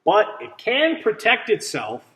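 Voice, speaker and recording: Animated high-voiced man reading to children. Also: a little slow. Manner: instructive, checks understanding with simple questions. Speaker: teacher reading a picture book aloud to children. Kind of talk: children's read-aloud with questions to listeners.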